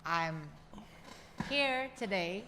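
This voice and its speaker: A woman joining her husband on camera, talking in a halting, friendly way, often searching for words. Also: medium pitch, animated, pushed and bright. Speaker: host's wife. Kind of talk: casual chat. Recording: long reverb, faint ambient noise.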